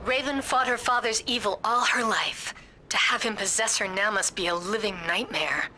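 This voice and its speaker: A woman's voice: medium pitch, moderate pace, sharp tone, a little hiss or hum, close to an everyday voice, poor audio. A woman playing a grave, worried mission adviser in scripted video game dialogue. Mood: grave concern.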